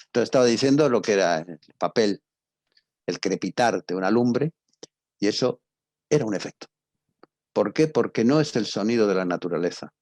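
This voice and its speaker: A middle-aged man with an accent from Spain lecturing informally, explaining with examples, repeating phrases and pausing to stress key words. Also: lowish voice, animated, a little mellow, no echo. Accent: Spanish from Spain. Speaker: university lecturer in radio drama.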